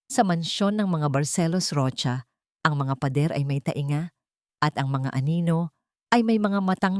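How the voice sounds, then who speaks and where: A woman reads a scripted dramatic story as narrator, in a measured and deliberate storytelling manner. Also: on the low side, a little mellow, no room sound, clean studio sound.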